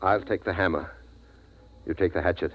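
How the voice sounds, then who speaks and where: An actor playing a steadfast, philosophical middle-aged father, speaking in an earnest, measured, dramatic 1950s radio style. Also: deep voice, fairly even intonation, crowd noise, natural voice. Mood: tense, commanding. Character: steadfast, philosophical father, last man guarding his family. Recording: dull vintage radio recording.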